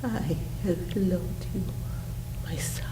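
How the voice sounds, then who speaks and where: A woman playing a grieving, devoted mother in a solemn dramatic monologue, with halting, emotional delivery and breathy pauses. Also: on the low side, in a whisper. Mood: tender, hushed reverence. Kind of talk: slow dramatic stage monologue.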